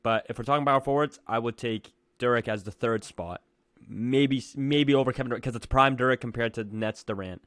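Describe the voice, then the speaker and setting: A man's voice: medium pitch, normal speed, fairly bright tone, no echo, clean studio sound. A young man co-hosting a sports podcast, debating players in a casual way. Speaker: podcast co-host.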